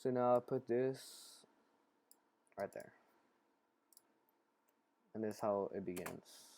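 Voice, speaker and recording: Man, lowish voice, casual how-to narration, halting and improvised, step-by-step instructions. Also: mellow. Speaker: tutorial host. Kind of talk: casual screen-recorded software tutorial.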